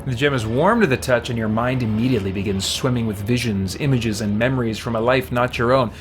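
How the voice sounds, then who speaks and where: A man hosts a game stream in a casual, think-aloud way, moving between reading on-screen text and talking to viewers. His voice sits at a middling pitch, with some faint noise in the background. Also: quick pace.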